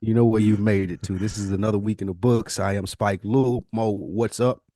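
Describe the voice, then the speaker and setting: A man's voice: on the low side, fairly even intonation, brisk, mellow, no echo, studio quality. A man co-hosting a podcast in casual conversation, with a laid-back, slangy way of talking. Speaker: podcast co-host.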